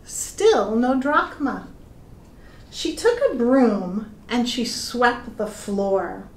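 A middle-aged woman reading a children's story aloud in a deliberate, expressive way, addressing young listeners. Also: a little high. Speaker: pastor reading stories to children. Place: indoor room with plain walls. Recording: echoey room.

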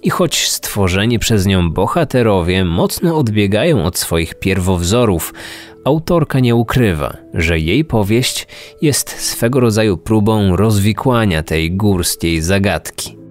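A man's voice: fairly low pitch, animated, unhurried. A man hosting a true-crime podcast in scripted narration. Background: music under the voice.

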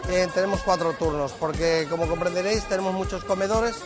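A man's voice: high-pitched, steady tone, brisk. A middle-aged man from Zamora, a restaurant manager, explaining his busy terrace restaurant to camera on a lapel mic. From Zamora, Spain. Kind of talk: guided tour talk. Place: busy restaurant terrace under a tent at night. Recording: background music under the voice.

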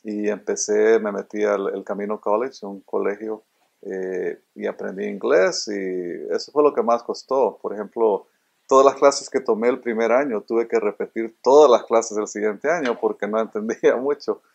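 Low-pitched gravelly man from Honduras, middle-aged, earnest and halting, repeats himself, interview guest in a robot-filled room, somewhat dull lo-fi recording. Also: darkish tone. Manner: earnest, repeats words while searching for them. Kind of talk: casual interview answers. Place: indoor room full of robots.

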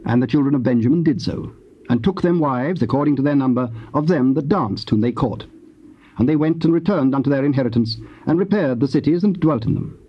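A man narrating scripture in a solemn, measured, formal style as part of an audio drama. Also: medium pitch, expressive, natural voice. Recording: muffled hissy old cassette tape.

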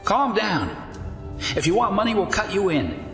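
An actor playing a placating corrupt city politician in an audio drama. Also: male voice, high-pitched, big pitch swings, moderate pace, a bit bright, exaggerated voice. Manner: appeasing. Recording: echoey hall, faint music.

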